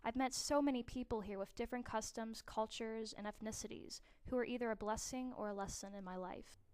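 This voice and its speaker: A young woman from New Orleans reads her own prepared essay aloud in an earnest, measured way. Her voice sits a little high and sounds smooth and clear. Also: a little mellow. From New Orleans, USA. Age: young adult. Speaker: student. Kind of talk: scripted personal narration.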